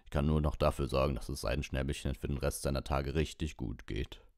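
Man as a very deep, downhearted grown man. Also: steady tone, fairly quick, creaky, a little mellow, no echo, clean studio sound. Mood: sad, resigned. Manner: sad, resigned. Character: downhearted, soft-hearted grown man, gruff friend.

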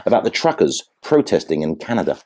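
A man's voice: rumbling low, very animated, quick pace, a little mellow, exaggerated voice. A man playing a pompous, sardonic interviewer in a satirical mock interview, with florid, needling delivery. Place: furnished sitting room indoors. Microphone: lapel.

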